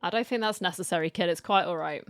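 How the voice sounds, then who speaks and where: A woman's voice: on the low side, fast talker, a bit bright. A woman streamer giving casual game reaction commentary with dry, wry asides.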